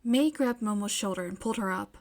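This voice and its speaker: A woman reading scripted fiction aloud as an expressive podfic narrator. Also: medium pitch, a little fast.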